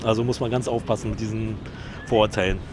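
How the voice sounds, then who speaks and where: A man in his thirties with a Berlin accent talks fast in a mid-pitched voice, measured and thoughtful in what he says. He sits outdoors on a bench, with a handheld microphone held up to him. Clear city ambience hums behind him. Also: steady tone. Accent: Berlin German. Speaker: interviewee. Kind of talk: casual documentary interview. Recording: outdoor street noise.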